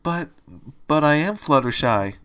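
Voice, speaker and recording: A man's voice: on the high side, lively intonation, fairly quick, pushed and bright. A man voicing a timid, anxious female pony turned little rabbit in a storybook, hesitant and stammering. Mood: nervous pleading.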